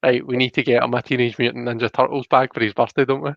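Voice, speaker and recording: A man's voice: medium pitch, level tone, fast, gravelly, dry recording, highs cut off. A Scottish man hosting a casual live tarot reading, chatty and hesitant, often chuckling as he reads out viewer comments.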